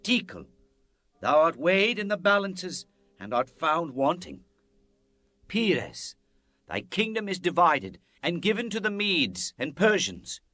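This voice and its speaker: An actor playing a stern, fearless Hebrew prophet in a dramatized Bible, solemn and declamatory. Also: male voice, fairly high pitch, very animated, slow, bright, forceful tone, no room sound, soft background music, heavily acted. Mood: grave pronouncement.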